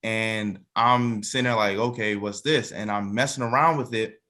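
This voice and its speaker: A man's voice: lowish voice, fairly even intonation, normal speed, pushed and bright. A man in his twenties chatting casually in an interview, often repeating phrases for emphasis. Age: 20s. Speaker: musician and songwriter interviewed as a cast member.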